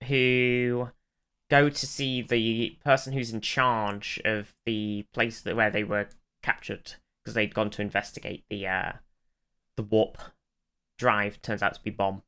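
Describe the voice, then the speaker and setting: Casual podcast co-host, mid-pitched and bright, clean tone, enthusiastic fan energy, loose sweary delivery. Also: fairly even intonation, measured pace, clean tone. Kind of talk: casual chat, recounting TV episodes.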